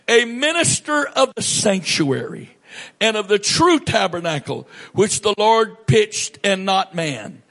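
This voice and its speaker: A male pastor preaching a sermon in a church, reading scripture aloud and then explaining it in a measured, emphatic way with pauses. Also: sits high, a bit bright.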